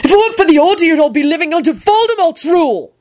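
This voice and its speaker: A woman's voice: medium pitch, animated, a little fast, darkish tone, natural voice. A woman voicing a mild-mannered young wizard man in a storybook, gloomy and apologetic but vehement when roused. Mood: angry shouting. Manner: apologetic, turning to indignant barking.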